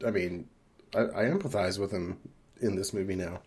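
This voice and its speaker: A man's voice: low voice, moderate pace, a little mellow. A man co-hosting a podcast, discussing film casually in a hesitant, rambling, conversational way. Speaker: podcast co-host.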